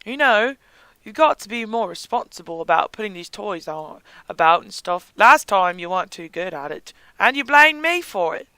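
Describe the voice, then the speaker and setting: Host doing a game hero, polite, reproachful, hoarse. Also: medium pitch, animated, normal speed, bright, forceful tone, no room sound, poor audio.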